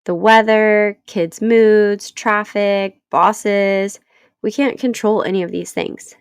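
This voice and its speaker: A woman's voice: medium pitch, steady tone, slow pace, clean tone, dry recording, studio quality. A woman in her thirties hosting her own podcast, stuffy from a cold, talking in an earnest, encouraging way. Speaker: podcast host. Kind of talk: solo podcast talk.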